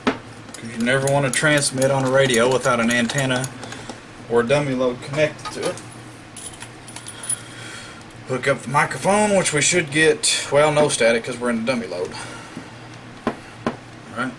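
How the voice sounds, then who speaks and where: A man's voice: medium pitch, normal speed, pauses often, background noise. A man talking casually through a hands-on electronics repair, explaining step by step in a folksy way. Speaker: hobbyist radio repairer making a demonstration video.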